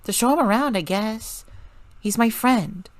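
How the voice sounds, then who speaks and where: A man puts on a high-pitched young girl for a story reading. She is cheeky and carefree, answering in sarcastic, mock-innocent banter. The recording is dry. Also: a little slow, dry recording.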